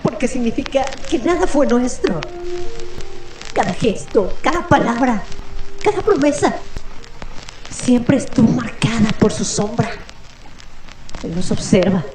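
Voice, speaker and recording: An actress playing a fearful, haunted woman with a dark secret in a radio drama, grave and halting. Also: a little high, animated. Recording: echoey, music and rain behind.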